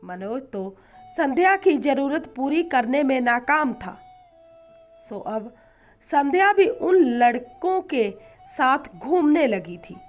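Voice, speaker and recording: Slow, high-pitched woman narrator. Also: sing-song, soft background music. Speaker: story narrator. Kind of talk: scripted narration of a moral story.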